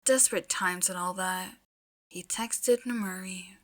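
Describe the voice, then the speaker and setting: Bright-voiced woman narrating a story. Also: on the low side, measured pace. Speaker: fan fiction audiobook narrator. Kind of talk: expressive storytelling narration with casual asides.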